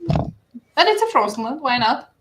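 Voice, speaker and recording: A woman's voice: high-pitched, very animated, unhurried, echoey room, noisy background. A woman from Slovakia with a Slovak accent, chatting casually and laughing easily as a guest artist. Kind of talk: casual chat.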